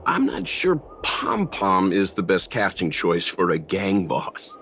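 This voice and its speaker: A man's voice: low-pitched, huge pitch swings, slow, fairly bright tone, slight background noise, exaggerated voice. A man voicing a dry, sceptical young man who comments quizzically, in a video game style. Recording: game music behind the voice.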